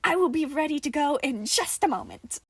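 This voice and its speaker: A woman voicing a delighted, unnaturally chipper wooden toy soldier with old-fashioned gentlemanly speech in an audio story. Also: sits high, expressive, a little fast, no room sound, voice pushed up. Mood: cheerful.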